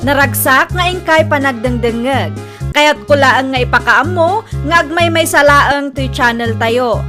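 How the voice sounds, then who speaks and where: A high-pitched woman with a Filipino accent announces in a theatrical, scripted style. Music plays clearly behind her voice. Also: a little slow. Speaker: drama channel presenter.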